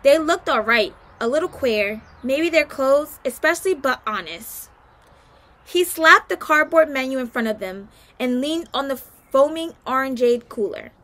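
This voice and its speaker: A woman's voice: high-pitched, animated, a little slow, fairly bright tone. A woman reading a story aloud as a guest narrator, careful, with occasional stumbles and self-corrections.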